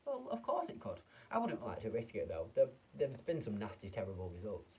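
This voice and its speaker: A man reading aloud and voicing an eccentric, excitable male chocolate maker with a theatrical, fussy manner. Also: lowish voice, a little fast, lo-fi sound, a little hiss or hum. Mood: excited.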